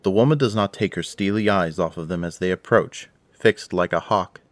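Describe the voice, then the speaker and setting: A man's voice: sits low, moderate pace, no echo. A man giving scripted, dramatic narration for an action audio drama. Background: prominent music.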